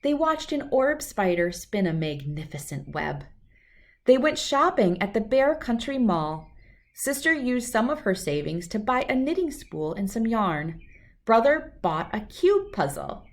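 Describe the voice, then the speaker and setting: Animated woman reading a children's story. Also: fairly high pitch, a little slow. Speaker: storybook reader. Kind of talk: expressive children's read-aloud narration.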